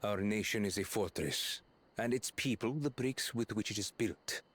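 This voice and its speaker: A man voicing a grave, calculating prince in a fantasy game, speaking in measured, formal pronouncements. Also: on the low side, a bit bright. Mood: cool, solemn. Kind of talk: fantasy video game acting.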